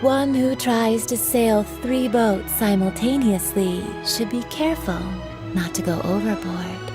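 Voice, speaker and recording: A woman's voice: a little high, measured pace. A woman playing a friendly, easygoing shopkeeper in a video game, inviting and full of advice. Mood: friendly, advising. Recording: music behind the voice.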